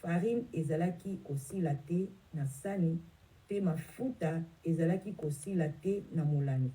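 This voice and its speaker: A woman reading a Bible passage aloud in a slow, measured way, now and then correcting herself. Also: deep voice, mellow, rough recording. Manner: measured, careful reading with small self-corrections. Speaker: scripture reader.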